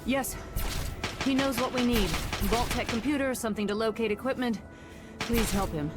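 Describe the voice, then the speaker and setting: A woman's voice: on the high side, fairly even intonation, normal speed, natural voice. An actress playing a distraught, weary woman scientist in a video game, urgent and faltering. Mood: insistent. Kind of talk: dramatic video game acting. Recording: music behind the voice.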